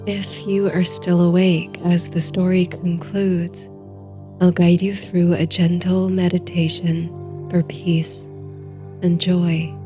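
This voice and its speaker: A woman narrating a guided sleep story in a soothing, hushed, unhurried way. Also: fairly low pitch, level tone, soft background music.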